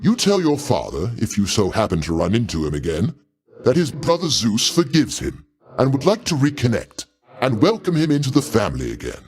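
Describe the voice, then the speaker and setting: A man's voice: medium pitch, wildly swooping pitch, fairly quick, a little mellow, breathy edge, full character voice. A man voicing the king of the gods as a genial but pompous, imperious uncle in a video game, speaking in formal, grandiose phrases. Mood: smooth, persuasive. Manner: grandiose, avuncular, formal diction with dramatic swells. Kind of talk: theatrical game character voice lines.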